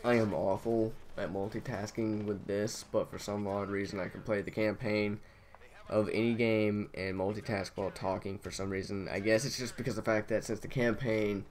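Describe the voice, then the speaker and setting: A young man hosting a gaming channel, talking in a flat, monotone voice and rambling with lots of fillers over gameplay. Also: fairly low pitch, normal speed. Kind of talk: casual gameplay commentary.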